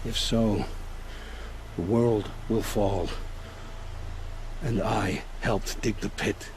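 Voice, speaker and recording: An actor playing a remorseful, condemned man in a video game drama, speaking gravely with a resigned, pleading manner. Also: lowish voice, very slow, a little mellow, a little hiss or hum. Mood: grave foreboding. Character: remorseful condemned man, coerced accomplice who loves his family.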